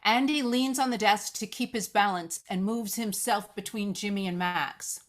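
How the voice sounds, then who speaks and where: A woman serves as narrator at a live script reading. Her medium-pitched voice delivers stage directions descriptively, with the odd stumble over the written text. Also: normal speed.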